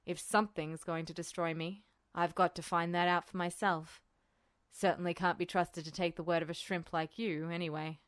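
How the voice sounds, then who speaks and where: A woman voicing an older military man, a smug colonel who talks in a measured, earnest, insistent way, in an audiobook story. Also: lowish voice, little pitch movement, dry recording, studio quality, voice pushed down, lightly acted. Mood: smug, teasing. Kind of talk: fan fiction audiobook dialogue.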